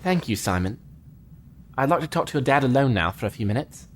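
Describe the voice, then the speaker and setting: An earnest lieutenant, lively pitch. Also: male voice, slight background noise. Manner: earnest, polite, measured. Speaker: starship lieutenant. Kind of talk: fan audio drama acting.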